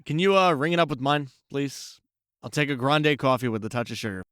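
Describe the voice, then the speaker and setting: Audio drama lead: male actor as regretful, lovesick ex, earnest and halting, pleading delivery, dry close recording. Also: mid-range voice, fairly bright tone, clean tone, dry recording, lightly acted. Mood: polite. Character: remorseful, lovesick cheating ex-boyfriend, pleading earnestly.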